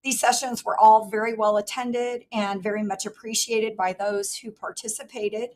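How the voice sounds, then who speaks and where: A woman in her fifties, hoarse from losing her voice, giving formal testimony as a regional director over a remote call. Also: fairly high pitch, normal speed, slight background noise, low-quality recording. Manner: earnest, steady, with fillers. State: hoarse, lost voice. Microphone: remote call audio.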